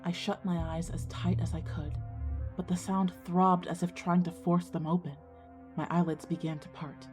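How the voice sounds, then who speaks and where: A woman's voice: lowish voice, level tone, moderate pace, darkish tone, natural voice. Woman acting an anxious schoolgirl, music underneath. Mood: tense narration. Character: anxious schoolgirl narrating her own ghost story. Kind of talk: scripted audio drama, first-person narration and dialogue.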